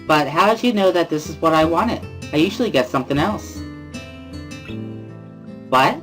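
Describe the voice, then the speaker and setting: Visual novel role: loud, bubbly high school girl played by a man, high-pitched, very animated, music underneath. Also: normal speed. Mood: bubbly, teasing. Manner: exuberant, talkative, boisterous laughing. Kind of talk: amateur dramatic reading of a visual novel.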